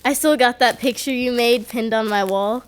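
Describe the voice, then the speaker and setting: A woman playing a brash but soft-hearted small-town country girl in an audio horror drama. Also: a little high, normal speed, slight background noise, close to an everyday voice. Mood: fond.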